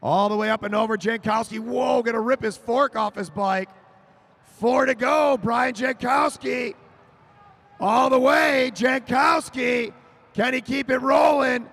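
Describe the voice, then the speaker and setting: A man calling a track cycling race live, rising to shouts as the sprint builds. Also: very high voice, a little slow, creaky, husky. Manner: excited, shouting names over the finish. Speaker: race announcer. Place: velodrome over a PA.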